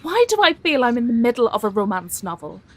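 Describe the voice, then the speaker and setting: An actress with a British accent playing a caring, frank middle-aged single daughter in an intimate audio drama. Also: female voice, on the high side, sing-song, fast talker, slight background noise. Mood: gently teasing.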